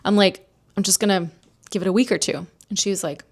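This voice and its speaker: A woman's voice: medium pitch, fairly even intonation, brisk. An American woman from New Jersey telling a personal story as a solo podcast host, in a chatty, candid, casual way with some swearing. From New Jersey, United States.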